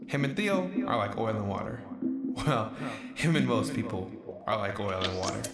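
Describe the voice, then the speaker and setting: A man's voice: fairly low pitch, animated, normal speed, gravelly, roomy sound, loud music behind the voice. A man giving wry, dry comedic narration in an audio drama.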